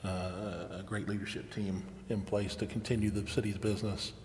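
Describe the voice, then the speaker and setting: Casting: American man as meeting chair, lowish voice, heard in a big echoing chamber with faint noise. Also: flat delivery, normal speed, a little mellow. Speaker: mayor chairing a council meeting. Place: council chamber. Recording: echoey hall, faint background noise.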